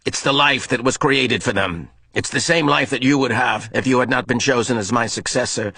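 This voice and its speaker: A man voicing a calm, firm old mentor who speaks measured and quietly rueful in an audiobook. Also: medium pitch, vocal fry, breathy edge, heavily acted. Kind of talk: audiobook character voice.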